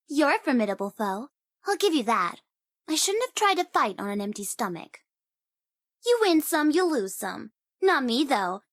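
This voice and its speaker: High-pitched bratty young sorceress. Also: female voice, fairly bright tone, no room sound, studio quality, exaggerated voice. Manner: cocky, playful, theatrical, teasing. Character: young, vain, bratty sorceress with a violent streak. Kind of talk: video game character voice lines.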